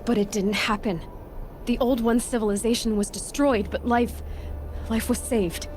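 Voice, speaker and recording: A woman's voice: on the high side, fairly even intonation, normal speed, darkish tone, slight background noise, natural voice. A woman acting a headstrong young huntress in a video game, with a blunt, questioning manner. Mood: impatient, curious. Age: young adult. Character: defiant, headstrong young huntress seeking her origins.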